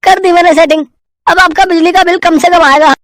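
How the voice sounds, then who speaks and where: A woman's voice: extremely high pitch, steady tone, brisk, fairly bright tone, no echo. A woman voicing a greedy, cheeky young male electrician in a cartoon, with a giggly, wheedling manner. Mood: placating. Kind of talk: cartoon voice acting.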